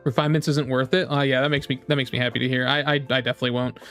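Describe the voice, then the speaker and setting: A man's voice: mid-range voice, little pitch movement, very fast, a bit bright, clean tone. A man with a mid-range voice chats casually on a game livestream. He rambles and circles back, repeating his own phrases. Music plays behind him. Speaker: game streamer.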